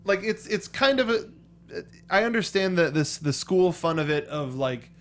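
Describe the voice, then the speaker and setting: A man's voice: high voice, animated, normal speed. A man chatting casually and enthusiastically as a geeky co-host of a movie review show. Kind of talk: casual fan discussion.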